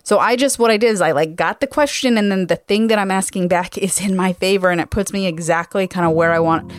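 A woman with a medium-pitched voice hosts a solo coaching podcast. Her delivery is conversational and emphatic, full of casual slang. The recording is dry, with very little room sound. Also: fast talker, no echo. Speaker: podcast host and car sales coach.

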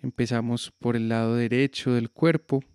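A man guiding a yoga nidra relaxation with slow, calm, soothing instructions. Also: mid-range voice, smooth, no room sound, clean studio sound. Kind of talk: guided relaxation meditation.

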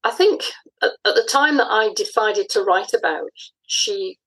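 A woman speaking as an interview guest, explaining things conversationally in a measured, reflective way with pauses between phrases. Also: mid-range voice, lively intonation, fairly bright tone, husky, poor audio. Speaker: interview guest, audio dramatist.

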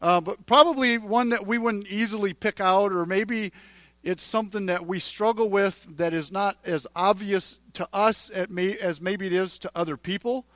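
A man in his forties preaches in a relaxed, conversational way, often circling back and repeating himself as he talks directly to the people in front of him. His voice sits very high for a man. It sounds close and dry, with almost no room around it. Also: no echo. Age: 40s. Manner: halting, repeats and restarts phrases, speaks straight to the congregation. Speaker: pastor. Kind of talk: conversational sermon.

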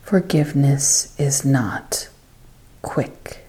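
A woman leading a scripted devotional guided meditation, with hushed phrase endings and long pauses. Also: a bass voice, whispered.

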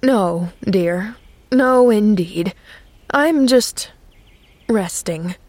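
A woman's voice: medium pitch, animated, very slow, darkish tone, dry recording. A woman reading aloud and voicing a stern, troubled middle-aged male minister who speaks solemnly and declaims scripture. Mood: weary.

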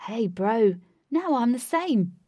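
A woman voicing a laid-back male party organiser who talks loudly and breezily and calls people bro. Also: on the high side, sing-song, takes it slowly, breathy edge, dry recording, clean studio sound, voice pushed up, heavily acted. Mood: placating.

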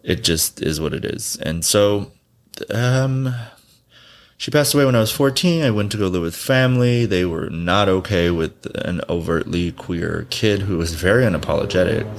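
Deep gravelly man telling his story. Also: normal speed. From Southern California. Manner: blunt, profane, laughs often. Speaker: interview guest. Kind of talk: candid personal storytelling.

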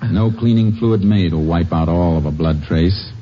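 A man's voice: low voice, normal speed. An American man playing a stern, wry Texas Ranger in a 1950s western radio drama, with a dry, terse delivery. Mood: firm, accusing. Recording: dull old 1950s radio recording, music.

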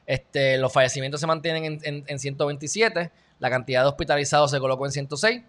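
A man with a Puerto Rican accent talks through the day's news in a loose, joking way, full of asides. His voice sits a little on the high side. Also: fast, a bit bright. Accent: Puerto Rican Spanish. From Puerto Rico. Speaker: video host. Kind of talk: casual news commentary.

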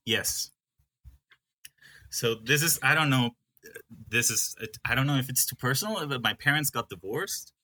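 Bright-voiced man from Peru, halting. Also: medium pitch, expressive. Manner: hesitant, with many restarts. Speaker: coffee farm manager. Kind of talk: casual interview.

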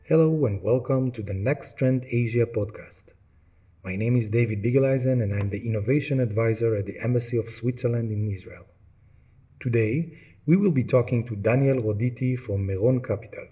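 A man's voice: mid-range voice, normal speed, dark tone. A man hosting a podcast interview, introducing the show and asking questions hesitantly, with many ums and restarts. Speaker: podcast host.

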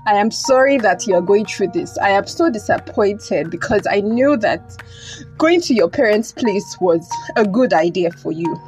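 Actress as caring nigerian woman friend, earnest n emphatic, medium pitch, audio drama w soft music under. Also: animated, measured pace, natural voice, poor audio. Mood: reassuring. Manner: earnest, emphatic, repeats phrases. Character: concerned, protective, devout woman friend, indignant yet reassuring.

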